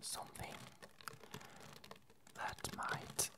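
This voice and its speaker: A woman giving a casual ASMR update in a whisper, speaking in halting short phrases with pauses. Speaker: ASMR video creator and host. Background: ice cubes clinking.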